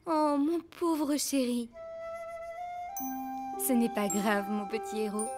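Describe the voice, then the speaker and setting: A woman's voice: on the high side, expressive, takes it slowly, music in the background. A woman playing a tender, soothing mother in a cartoon, with a motherly manner. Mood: consoling. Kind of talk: cartoon voice acting.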